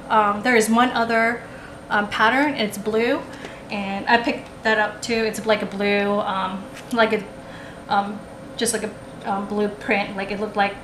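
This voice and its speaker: A woman chatting casually through a haul at a table, rambling with lots of ums and restarts, recorded on the camera. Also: mid-range voice. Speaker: haul vlogger. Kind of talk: casual show-and-tell haul. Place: indoors at a table, camera over the table. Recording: echoey room with background noise.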